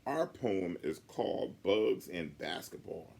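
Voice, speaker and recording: A man's voice: deep voice, sing-song, slow, vocal fry, darkish tone, full character voice. A man voicing a young schoolboy proudly reciting a silly rhyme in singsong storybook style.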